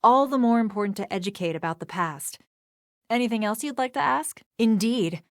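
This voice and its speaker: A woman's voice: fairly high pitch, lively intonation, normal speed, no room sound, clean studio sound. An actress playing a formal, scholarly woman historian in a video game, earnest, precise and bookish in manner. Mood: earnest, lecturing.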